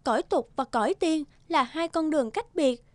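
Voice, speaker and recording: A woman voicing a devoted young fairy wife in a cartoon, speaking softly in a formal, archaic way. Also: high voice, moderate pace, dry recording, lightly acted. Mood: solemn. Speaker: voice actor. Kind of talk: cartoon dubbing.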